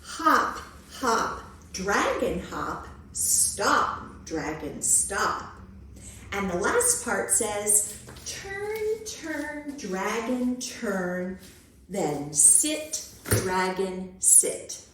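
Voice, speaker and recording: A woman leading a children's storytime, reading picture books and action rhymes in an expressive, measured, sing-song way. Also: mid-range voice, roomy sound, a little hiss or hum.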